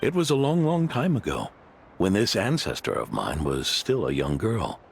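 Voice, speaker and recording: A man's voice: low-pitched, huge pitch swings, normal speed, vocal fry, a little hiss or hum, heavily acted. An actor playing a proud older male guest house owner in a video game, speaking in a theatrical, emphatic storytelling manner. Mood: earnest storytelling. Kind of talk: animated game dialogue, storytelling. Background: music.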